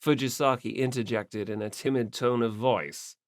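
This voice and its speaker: A man's voice: mid-range voice, steady tone, normal speed, no echo. Man narrating an audiobook, close and dry. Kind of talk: scripted story narration.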